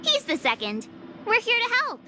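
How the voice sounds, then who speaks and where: A woman voicing a perky, eager young girl playing detective in a video game, bubbly, excitable and cocky. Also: extremely high pitch, moderate pace, heavy background noise, heavily acted. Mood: excited.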